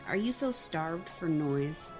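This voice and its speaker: An actor playing a calm young male prince who speaks in a dry, measured way. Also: a little high, faint music behind. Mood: teasing. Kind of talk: audio drama acting.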